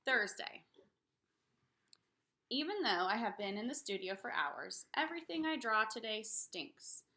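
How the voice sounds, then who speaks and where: Animated, bright-voiced woman reading a storybook. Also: fairly high pitch, unhurried. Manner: expressive, dramatic reading to young children. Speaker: read-aloud storyteller. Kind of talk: animated children's picture book read-aloud.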